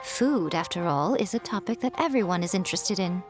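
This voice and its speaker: A woman voicing a sly, elegant fox spirit who mentors with smooth, unhurried teasing. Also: lowish voice, lively intonation, over music, close to an everyday voice. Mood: instructive. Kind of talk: video game cutscene voice acting.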